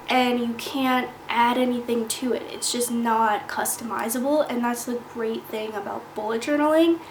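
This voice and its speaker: A woman vlogger sitting down to chat to camera, a little nasal from a cold, earnest and explaining things step by step. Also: fairly high pitch. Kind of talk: casual sit-down talk to camera. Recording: echoey room with faint hiss.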